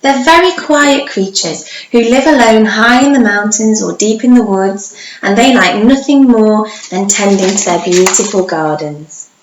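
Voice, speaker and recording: A woman reading a children's story aloud like a primary teacher, with dramatic pauses and drawn-out words for young listeners. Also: medium pitch. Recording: echoey room, faint background noise.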